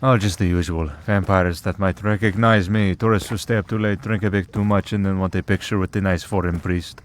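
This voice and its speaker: Man acting a deep-voiced, wry vampire priest. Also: steady tone, a little fast, slight background noise, close to an everyday voice. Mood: playful. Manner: sardonic, bantering, conversational. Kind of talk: ASMR roleplay acting.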